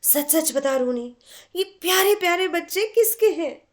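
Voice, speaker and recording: A woman's voice: extremely high pitch, animated, unhurried, voice pushed up. A woman voicing a curious, astonished woman painter in a story reading, probing her old friend. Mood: incredulous. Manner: incredulous, probing.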